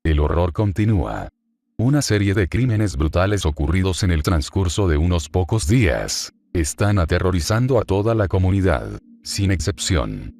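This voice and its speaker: A man with a very low voice delivers scripted horror narration in the style of a crime report. His delivery is grave, measured and formal. Clear noise and ambience sit behind the voice. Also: darkish tone.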